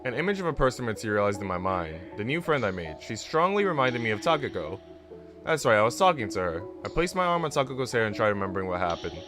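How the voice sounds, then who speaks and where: A man's voice: fairly low pitch, sing-song, fast talker. Visual novel heroine narrating read by a man, reflective and unsure, varied intonation, music underneath. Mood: reflective, uncertain.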